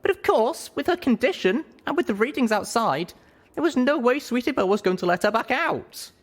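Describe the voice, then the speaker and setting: Guy doing a little pony girl, high soft falsetto, earnest and pleady, audiobook style. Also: expressive, a little fast. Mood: insistent, pleading.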